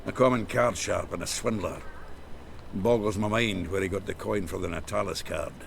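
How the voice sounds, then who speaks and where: Blunt, earthy game rogue: low gravelly growl, slangy and hot-tempered, faint ambience behind. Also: male voice, moderate pace, a little hiss or hum. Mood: blunt, scheming. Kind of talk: video game voice acting.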